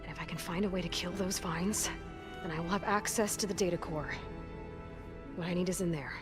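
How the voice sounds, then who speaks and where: A woman's voice: lowish voice, steady tone, a little fast, music in the background, natural voice. An actress voicing a determined young female hunter and explorer in a video game, with a practical, purposeful delivery. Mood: focused, purposeful. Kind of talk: video game cutscene dialogue.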